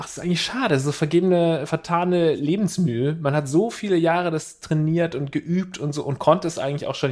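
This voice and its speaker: A man with a mid-range voice co-hosts a relaxed chat podcast recorded at his home. He talks in an easygoing, chatty way. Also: mid-range voice. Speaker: podcast co-host. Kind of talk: casual chat.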